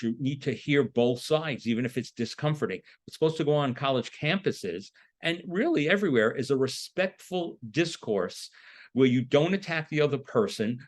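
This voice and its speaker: A man lecturing in an explanatory, emphatic manner, posing rhetorical questions as he goes. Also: fairly high pitch. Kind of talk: lecture on a political topic.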